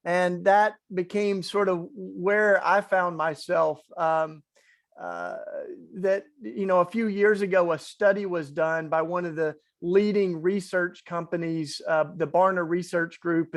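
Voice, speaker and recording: A man with a marked East Tennessee accent and a notably high voice. He talks in an earnest, reflective, conversational way as a webinar panelist. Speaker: webinar panelist, faith organizer. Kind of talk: online panel talk.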